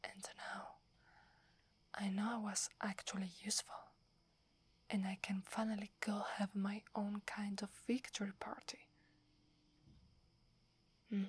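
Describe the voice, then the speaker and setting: A young female werewolf warrior, acted by a woman in an ASMR roleplay, whispers with an Italian accent. Her delivery is very breathy and slow, staying within a narrow pitch range. She is loyal and hesitant, speaking deferentially to her alpha. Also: level tone. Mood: grateful, tired.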